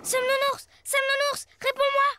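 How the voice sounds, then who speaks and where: A woman voicing a plucky, excitable little boy superhero in a children's space cartoon. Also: very high-pitched, moderate pace, slight background noise. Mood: alarmed, urgent. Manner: exclamatory, excitable.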